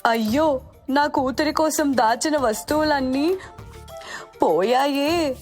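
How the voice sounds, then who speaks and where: A woman's voice: high-pitched, slow pace, faint music behind, voice pushed up. A woman playing a poor, anxious mother working as a maid in a storybook tale, pleading and doting. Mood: distressed. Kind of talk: storybook dialogue for a moral tale.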